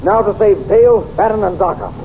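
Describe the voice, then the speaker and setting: A man's voice: sits high, fairly quick, narrow, tinny audio, noisy background. A man playing a confident young hero in an old radio serial, clipped and commanding. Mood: heroic. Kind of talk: 1930s radio acting.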